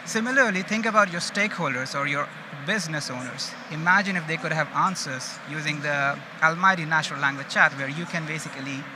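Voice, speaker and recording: A man's voice: a little high, a little fast, few pauses. A man from India with an Indian accent gives an informal conference presentation in a conversational way, often checking in with his listeners. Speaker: conference speaker, data engineering manager. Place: open stage at a busy exhibition. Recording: loud background noise of busy event hall. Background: loud ambient event noise.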